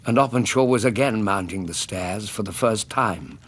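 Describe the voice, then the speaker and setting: An actor playing an earnest, loyal Victorian gentleman companion who narrates a radio drama, with a British accent and an expressive, literary delivery. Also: fairly low pitch, normal speed, a little hiss or hum, natural voice. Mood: melancholy. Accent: British English.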